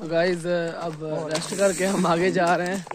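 A man's voice: on the high side, level tone, measured pace, a loud crowd. A young man with a Pakistani Urdu accent, chatting casually to the camera as he climbs a wooded hill trail, recorded on a handheld phone outdoors. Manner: chatty, walking and climbing while talking. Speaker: vlogger. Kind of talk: casual hiking vlog narration.

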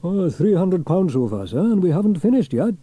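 A man's voice: sits high, big pitch swings, brisk, warm tone, breathy edge, no room sound, pitched above the performer's own voice, exaggerated voice. A man voicing a cheerful, reassuring fireman hero in a children's storybook. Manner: cheerful, dependable. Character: hearty, reassuring adult male fireman hero.

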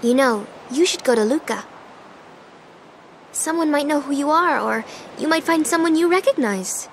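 Perky high-voiced teenage girl. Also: animated, normal speed, a little hiss or hum. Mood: upbeat, confiding. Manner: girlish, chirpy, informal. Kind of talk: video game dialogue. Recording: music behind the voice.

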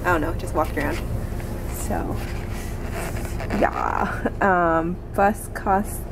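A young woman chats casually and excitably into a handheld camera as a travel vlogger, rambling and giggly. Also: lowish voice, takes it slowly, gravelly. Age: young adult. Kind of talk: casual handheld vlog chat. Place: out in a city at night, on buses and streets. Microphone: handheld camera at arm's length. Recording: loud street and vehicle noise.

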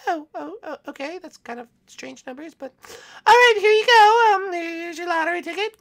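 A man voicing a flattering, fussy woman shop clerk in a cartoonish comedy skit, with a coy manner. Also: moderate pace, creaky, heavily acted. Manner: coy, fussy, high-pitched.

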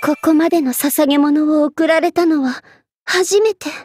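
A woman's voice: high-pitched, moderate pace, darkish tone, dry recording, soft background music, lightly acted. A woman playing a haughty, vain young Egyptian queen spirit in an anime game, speaking with imperious, ladylike command. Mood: flattered, proud. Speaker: game voice actor. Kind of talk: anime game character voice lines.

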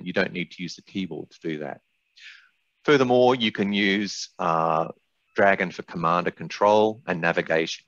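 An older man presenting a webinar in a measured, explanatory way, as an expert walking through software. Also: sits low. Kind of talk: webinar presentation with product demonstration. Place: indoors, small room on a video call. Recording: dull, roomy webinar audio.

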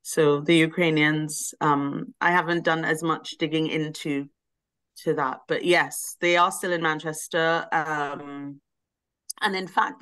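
A woman's voice: low-pitched, fairly bright tone. A woman speaking on a video call, explaining history casually with lots of hedging fillers. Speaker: webinar speaker.

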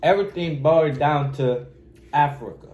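A man's voice: fairly high pitch, normal speed, a little mellow. A young man rambling earnestly and arguing a point while eating at a kitchen table. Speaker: mukbang co-host. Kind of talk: casual banter while eating. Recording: echoey kitchen with faint ambience.

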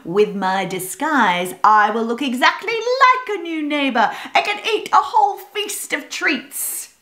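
A woman's voice: sits high, animated, measured pace, sharp tone, voice pushed up, exaggerated voice. A woman voicing a sly, greedy little male sugar glider who chuckles to himself. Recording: roomy echo.

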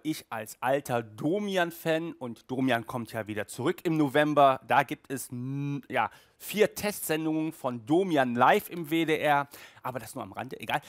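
A man's voice: medium pitch, dry recording. A man in his thirties talking casually and emphatically to camera as a reviewer, with frequent tag words, recorded indoors on a headset mic. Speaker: audio drama reviewer and host. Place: small indoor room at home.

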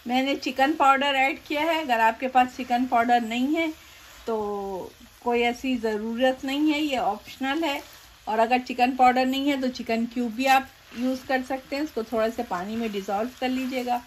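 Woman host, fairly high voice, calm step-by-step kitchen instructions, frying noise behind. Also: moderate pace, low-quality recording. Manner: patient, repeats steps, addresses viewers directly. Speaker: cooking show host. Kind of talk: step-by-step cooking demonstration. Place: home kitchen, voice over stovetop sizzling. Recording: audible frying and stirring noise.

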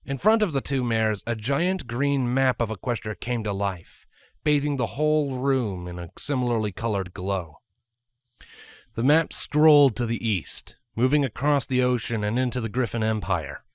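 A man's voice: mid-range voice, expressive, normal speed, no room sound, clean studio sound. A man reading scripted story narration as an audiobook narrator.

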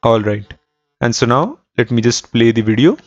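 A man gives a methodical software tutorial at a middle pitch. He explains each step and repeats key points. The recording is very dry and slightly muffled. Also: lively intonation, normal speed, dry recording, soft background music. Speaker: tutorial host.

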